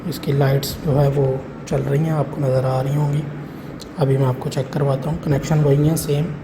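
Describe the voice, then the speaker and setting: Man giving hands-on tutorial, flat pitch, noisy recording. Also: normal speed. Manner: explains step by step with repeated check-in phrases. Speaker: tutorial host showing an intercom installation. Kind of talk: hands-on how-to demonstration, casual. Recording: clearly noisy room recording.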